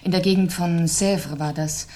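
An actress plays an elegant, scheming widow in a 1970s radio crime play. Her voice sits slightly low. She is cool and guarded, giving precise, clipped answers. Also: steady tone, normal speed, warm tone, a little hiss or hum, natural voice. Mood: guarded, irritated. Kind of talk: 1970s German radio crime drama acting.

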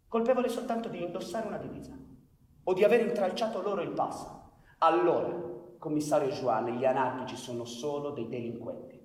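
An actor playing a stern, cold police chief in a radio drama, speaking with measured, deliberate authority. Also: male voice, on the high side, darkish tone, close to an everyday voice. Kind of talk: radio theatre acting. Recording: echoey reverberant room, distant mic.

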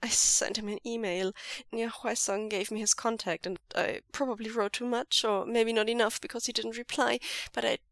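A woman's voice: fairly high pitch, steady tone, moderate pace, hardly any pauses, no echo, natural voice. Audiobook: woman reading as a young man, tearful and stammering, voice breaking, dry close sound. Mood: remorseful. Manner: stammering, voice cracking and choking up.

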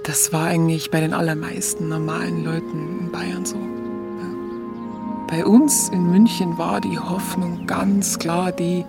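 Musing, halting woman over music. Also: fairly low pitch, level tone, vocal fry. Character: reflective young Bavarian woman recalling wartime Munich. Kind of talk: intimate radio play monologue.

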